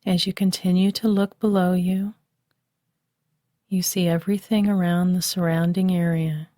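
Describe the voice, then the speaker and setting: A woman guiding a relaxation meditation, speaking softly and soothingly, recorded dry and close as a voice-over. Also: on the low side, flat delivery, dry recording. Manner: slow, soft and soothing. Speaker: meditation guide.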